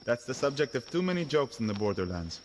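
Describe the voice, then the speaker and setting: A man's voice: mid-range voice, sing-song, fast, slight background noise, lightly acted, low-quality recording. A man voicing a guarded, pragmatic shepherd guide in an audio adventure game. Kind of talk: dramatic audio game acting.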